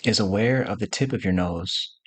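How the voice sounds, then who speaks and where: Low, husky man guiding meditation. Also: moderate pace, no echo. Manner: gentle instructing in short, spaced phrases. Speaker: guided meditation teacher. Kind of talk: slow guided meditation.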